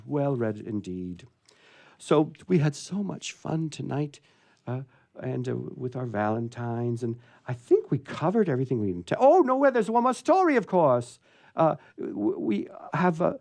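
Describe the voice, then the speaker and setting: A man hosts a radio theatre show in a mellow, lively voice that moves freely up and down. He rambles playfully between chat and readings with a theatrical touch. The sound is close and dry. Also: fairly high pitch, dry recording. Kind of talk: live chatty hosting with readings aloud.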